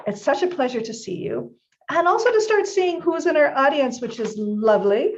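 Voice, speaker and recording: A woman's voice: sits high, lively intonation, moderate pace, highs cut off. A woman hosting a live-streamed event, greeting the audience directly in an upbeat way. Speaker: host.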